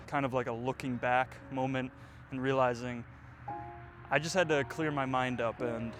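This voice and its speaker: A man in his twenties vlogging outdoors, rambling and reflective, with lots of fillers. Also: medium pitch. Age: 20s. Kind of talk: casual reflective vlog. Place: outdoors, windy.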